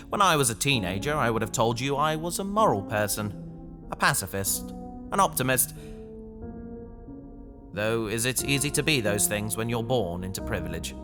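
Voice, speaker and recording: A man playing an anguished young fugitive recording a journal in a sci-fi audio drama, brooding and halting. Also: mid-range voice, lightly acted. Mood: bleak, resigned. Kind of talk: science fiction audio drama monologue. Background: music.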